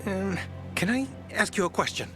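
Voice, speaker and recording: Earnest young son in a game, highish voice, bit hesitant, music under it. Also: male voice, lively intonation, moderate pace, sharp tone. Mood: curious. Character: curious, earnest young son, soft-hearted. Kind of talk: naturalistic video game dialogue.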